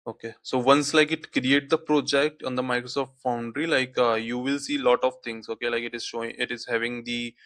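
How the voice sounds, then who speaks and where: A man's voice: medium pitch, level tone, a little fast, hardly any pauses, a bit bright, no echo. Hindi-speaking guy doing a screen tutorial, says okay like a lot, dead dry mic. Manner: frequent "okay, like" fillers. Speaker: tutorial instructor. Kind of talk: screen-recorded software tutorial.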